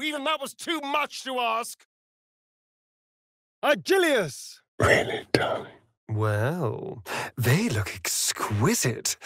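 A man's voice: high voice, creaky, pushed and bright, dry recording, clean studio sound, full character voice. An actor voicing a sly, sardonic male vampire rogue in a video game, with a theatrical, drawling manner. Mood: shouting, furious, then coy, teasing. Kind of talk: video game character voice lines.

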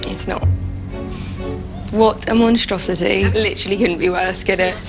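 A woman's voice: mid-range voice, steady tone, moderate pace, music in the background. A British woman in her twenties, talking candidly as a bride on a reality show. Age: 20s. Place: indoors, bridal shop.

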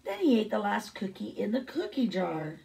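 Middle-aged female teacher for storybook read-aloud, low creaky voice, picked up from a little way off. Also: very animated, normal speed, warm tone, recorded from a distance, poor audio. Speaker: teacher reading a picture book aloud.

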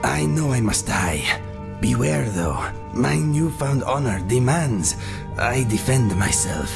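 Man acting a gravelly, guilt-ridden cat-man. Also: mid-range voice, very animated, slow, music in the background, exaggerated voice. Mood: grave, resigned. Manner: formal, grave, avoids contractions. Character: guilt-ridden cat-man ex-mercenary seeking death, solemn and honorable. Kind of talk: fantasy game character dialogue.

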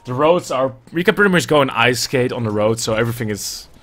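A man's voice: medium pitch, lively intonation, fast, a bit bright. A young man with a Dutch accent, casting a video game match on his own at home in a loose, chatty way, thinking aloud and swearing now and then. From Netherlands. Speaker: esports caster. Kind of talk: solo play-by-play game commentary. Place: indoors at home. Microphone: earbuds and webcam at a desk.

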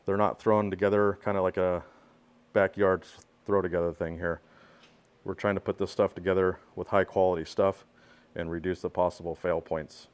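Low-voiced man touring a workshop build. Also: little pitch movement, moderate pace. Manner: explaining hands-on while filming himself. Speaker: pressure washing skid builder. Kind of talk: casual walkaround tour.

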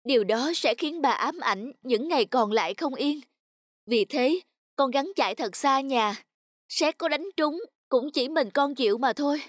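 An actor voicing a devoted, anguished young peasant son in an animated folk tale, earnest and pleading. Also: sits high, measured pace, no room sound, studio quality. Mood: emotional.

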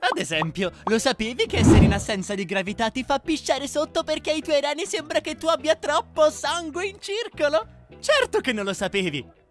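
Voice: high voice, animated, moderate pace, faint music behind. A narrator reading a scripted comedic parody in a sarcastic, emphatic way.

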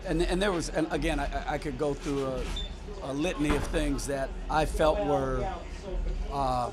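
A man in his fifties, a basketball head coach, speaking candidly at a post-game press conference in a small locker room, talking haltingly with many restarts and fillers into a microphone in front of him. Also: fairly high pitch, fairly even intonation, a crowd in the background. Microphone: table microphone in front.

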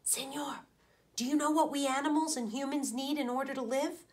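Woman as a high, hushed little porcupine. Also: fairly even intonation, a little fast, natural voice. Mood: earnest, urgent. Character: small earnest whispering tree porcupine.

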